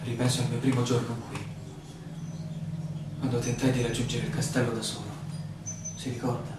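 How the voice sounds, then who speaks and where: A man's voice: mid-range voice, flat delivery, moderate pace, lightly acted. A man playing a weary, frustrated, questioning outsider, a land surveyor, in a theatrical radio drama. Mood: weary, bewildered. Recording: very echoey, distant, noisy room recording.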